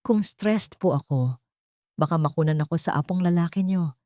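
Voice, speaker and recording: A woman's voice: lowish voice, normal speed, a little mellow, no echo, studio quality, lightly acted. A woman voicing a manipulative young villainess in a story, sugary and reproachful. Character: sweet-talking, manipulative pregnant young villainess. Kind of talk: storybook drama.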